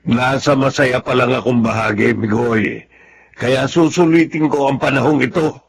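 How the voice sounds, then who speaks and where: A man's voice: mid-range voice, normal speed, creaky, slight background noise, close to an everyday voice. A man playing a doting, easily flustered young father in a Tagalog radio drama. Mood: happy, proud. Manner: animated, emotive.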